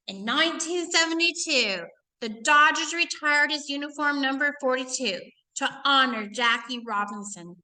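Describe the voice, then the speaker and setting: A woman with a high, bright voice reads aloud as an educator would for children. Her delivery is expressive and emphatic, drawing out important phrases. She mixes the reading with casual, instructive asides. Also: rough recording.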